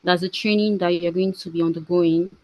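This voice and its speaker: A woman hosting an online training as program manager, introducing the session in halting short phrases. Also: lowish voice, fairly even intonation, darkish tone, poor audio. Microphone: video call.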